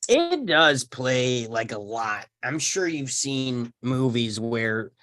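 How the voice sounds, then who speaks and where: Man, middling pitch, casual chat, colloquial, informal discussion participant. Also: sing-song, takes it slowly, a bit bright.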